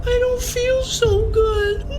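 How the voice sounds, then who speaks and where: Timid, hapless little sidekick: extremely high-pitched, slow and plaintive, over music. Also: male voice, little pitch movement. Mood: queasy, meek. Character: timid, hapless, apologetic small friend. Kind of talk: audio drama acting.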